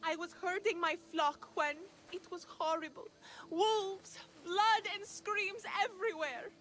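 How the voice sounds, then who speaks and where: High-voiced, awestruck shepherdess. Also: female voice, fairly bright tone. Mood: shaken. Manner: breathless reverence, halting, apologetic. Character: awestruck, devout, timid young shepherdess. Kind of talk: video game cutscene acting.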